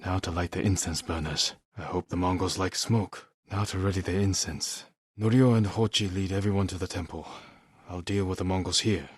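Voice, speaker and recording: An actor playing a stoic, honorable samurai warrior in a video game, weary and grave, speaking in a measured, restrained and protective manner. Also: male voice, low-pitched, steady tone, slightly rough, no echo, studio quality. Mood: grave, resolute. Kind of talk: dramatic video game voice acting.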